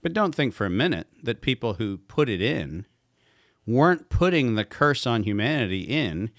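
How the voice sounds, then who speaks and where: A man's voice: mid-range voice, big pitch swings, normal speed, fairly bright tone, dry recording, studio quality. A man speaking as a guest expert in a podcast conversation, talking emphatically and posing rhetorical questions.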